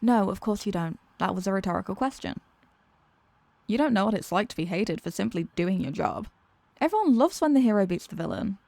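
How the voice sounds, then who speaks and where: A woman's voice: medium pitch, animated, brisk, vocal fry, warm tone, no room sound. Sarcastic female supervillain, acted by a woman, close and dry. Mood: exasperated, sarcastic. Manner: theatrical, sarcastic ranting. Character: exasperated female supervillain, sarcastic, secretly weary of evil. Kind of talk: comedic ASMR roleplay monologue.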